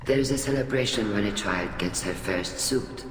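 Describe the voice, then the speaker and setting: An actor playing a weary, fatherly older alien admiral in a video game, speaking in a measured, formal way. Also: on the low side, lightly acted. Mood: warm reminiscing. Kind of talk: video game dialogue acting. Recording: echoey hall reverb, music behind.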